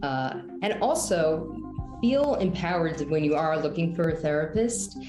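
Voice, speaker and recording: A woman psychotherapist answering interview questions, thoughtful and gentle. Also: low voice, expressive, a little slow, reverberant, over music.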